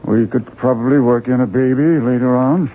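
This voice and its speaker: An actor playing a hearty, persuasive book publisher in a radio mystery drama, coaxing and insistent. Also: male voice, medium pitch, moderate pace, close to an everyday voice. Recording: tinny 1970s radio broadcast.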